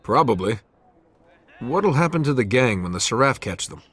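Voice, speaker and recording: An actor playing a determined, loyal young streetwise hero in a video game, with a husky voice. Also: male voice, medium pitch, big pitch swings, moderate pace, fairly bright tone, dry recording. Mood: candid. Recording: music behind the voice.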